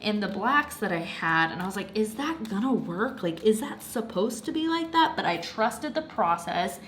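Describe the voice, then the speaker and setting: A woman's voice: mid-range voice, expressive, moderate pace, fairly bright tone, slight background noise. A young woman chatting casually about a crafting project at home, slightly congested and rambling with lots of fillers. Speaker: craft vlogger. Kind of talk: casual chat.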